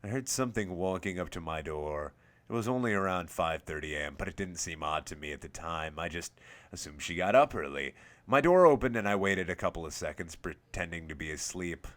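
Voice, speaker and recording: Low-voiced man narrating a scary story. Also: animated, a little fast, fairly bright tone. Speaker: host and reader of a scary story series. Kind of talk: scripted first-person horror story narration.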